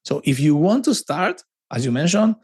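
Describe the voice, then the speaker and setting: Man, Argentine Spanish accent, lively pitch, slightly high voice, animated guest talk. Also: moderate pace, slightly rough. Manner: animated, with frequent restarts and emphatic repetition. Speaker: podcast guest, cloud advocate. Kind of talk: casual conversational interview.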